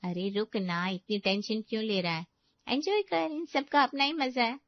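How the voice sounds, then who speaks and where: A woman's voice: on the high side, moderate pace, no echo, lo-fi sound, close to an everyday voice. A woman playing a self-assured, crafty married sister in a story, with an assertive, unbothered manner. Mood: breezy.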